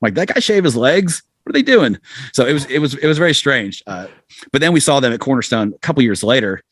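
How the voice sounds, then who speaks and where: A man's voice: medium pitch, sing-song, quick pace, husky, dry recording, studio quality. A middle-aged man chatting casually as a podcast guest, telling anecdotes in a self-deprecating way and acting out quotes. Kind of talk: casual anecdotal interview chat.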